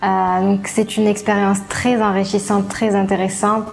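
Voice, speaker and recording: Young female student, vocal fry, flat intonation, hesitant interview delivery. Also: mid-range voice. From Morocco. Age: young adult. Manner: halting, frequent hesitations. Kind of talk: interview testimonial. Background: music.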